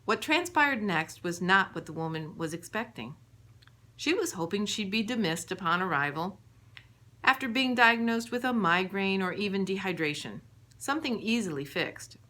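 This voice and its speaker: A woman reading her own book aloud as scripted audiobook narration, in a measured storytelling manner. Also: mid-range voice, lively intonation, bright, forceful tone.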